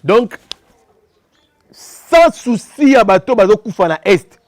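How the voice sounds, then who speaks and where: Impassioned high-voiced Congolese man. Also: very animated, slow, no echo. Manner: impassioned, repetitive, mixing French and Lingala. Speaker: commentator. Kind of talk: opinion commentary.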